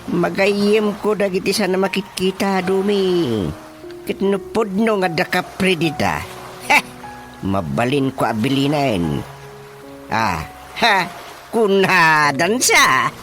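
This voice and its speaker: A man acting in an Ilocano radio drama as a village watchman spooked by spirits, talking in a drawn-out, halting way. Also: high voice, big pitch swings, full character voice. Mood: insistent. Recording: music playing behind the voice. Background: prominent background music.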